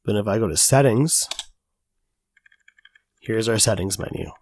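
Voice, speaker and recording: A man recovering from an illness, his voice raspy, talking casually through a coding tutorial with lots of filler words. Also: lowish voice, measured pace, darkish tone. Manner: casual, chatty, many fillers. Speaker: tutorial host. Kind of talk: screen-recorded coding tutorial.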